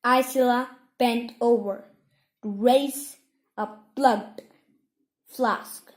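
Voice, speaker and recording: A woman reads fiction aloud in a fairly high voice. Her narration is slow and measured, broken into short phrases. The recording is roomy and echoing. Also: fairly even intonation. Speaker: audiobook reader. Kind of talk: scripted story narration.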